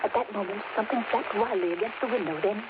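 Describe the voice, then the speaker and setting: An actress playing a composed, earnest young wife who narrates dark events precisely from a journal in a 1930s radio drama. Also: female voice, mid-range voice, fast talker, close to an everyday voice. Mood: uneasy, grave. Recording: tinny, very noisy old radio broadcast.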